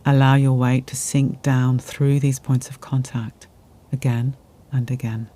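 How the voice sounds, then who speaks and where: Soft, mellow meditation guide. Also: medium pitch, level tone. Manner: gentle, soothing, unhurried phrasing with soft delivery.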